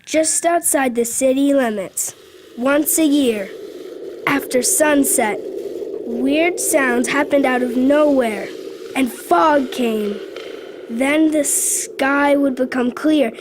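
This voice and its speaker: A child of about nine or ten narrating a radio drama from a script, measured and phrase by phrase. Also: high-pitched, noisy background. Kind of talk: scripted narration, read-aloud storytelling.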